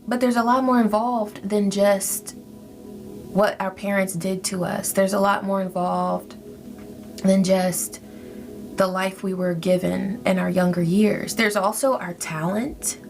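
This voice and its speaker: A woman giving a spiritual tarot reading in a soft, reflective, gently encouraging way. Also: medium pitch, steady tone, a little slow. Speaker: tarot reader. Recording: faint music behind.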